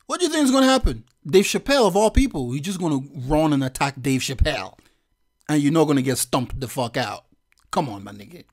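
A man giving a profane, slangy reaction commentary as a vlogger, with loud laughing outbursts. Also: on the high side, sing-song, fast talker.

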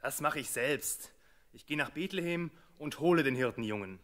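A man's voice: on the high side, expressive, moderate pace, a bit bright. An actor playing a brusque, impatient king's servant giving orders in a biblical radio play. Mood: businesslike. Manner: commanding, impatient. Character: brusque, imperious male servant of a tyrant king. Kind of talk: German radio play acting.

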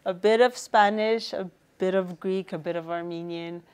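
A woman's voice: on the low side, fairly even intonation, normal speed, darkish tone, smooth. A young woman from Canada, a guest artist chatting in an interview in her studio, laughing easily and repeating words as she starts. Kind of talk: casual interview chat. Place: art studio indoors.

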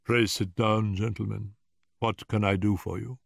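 A man's voice: fairly low pitch, steady tone, a little slow, creaky, breathy edge, no room sound, clean studio sound, pitched above the performer's own voice, heavily acted. A man voicing a malicious, irascible elderly professor in an audiobook story, with a pompous, cutting manner. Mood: formal, courteous. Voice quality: screaming at peaks.

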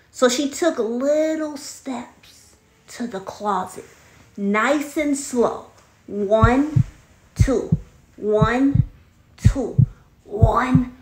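A woman playing a mischievous little girl in a comedy skit, telling tall tales in a theatrical, drawn-out way. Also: on the high side. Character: mischievous little girl Coco, cookie-loving tall-tale teller. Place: small bedroom, indoors. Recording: roomy echo, faint music behind.

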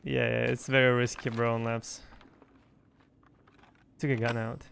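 Young guy streaming a game, creaky voice, says stuff twice, some noise behind him. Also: medium pitch, little pitch movement, unhurried. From Russia. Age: young adult. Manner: repeats short phrases. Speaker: streamer playing an online shooter. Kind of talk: casual in-game chat. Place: indoors at a streaming desk. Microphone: boom mic close up, with headset.

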